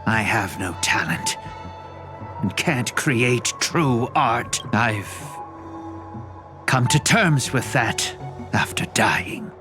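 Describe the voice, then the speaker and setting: A world-weary film director in a game. He speaks very slowly and deliberately, with wide dramatic swings and a dry, resigned grace. Music runs clearly behind the voice. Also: medium pitch, expressive. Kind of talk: dubbed anime game dialogue.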